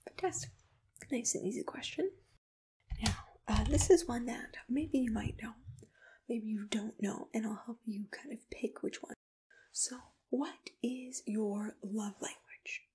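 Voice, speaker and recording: A woman softly whispering in a gentle, reassuring, patient way, asking questions as a matchmaker in an ASMR roleplay, close to the microphone. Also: on the high side, unhurried, a little hiss or hum. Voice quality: soft breathy whisper. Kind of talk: ASMR personal-attention roleplay, unscripted interview questions.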